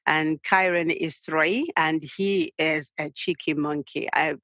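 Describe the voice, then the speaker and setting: A woman's voice: low-pitched, steady tone, slow, fairly bright tone, dry recording, muffled recording. A woman from Zimbabwe, a guest author who answers in a chatty way and laughs often. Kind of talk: conversational interview answers.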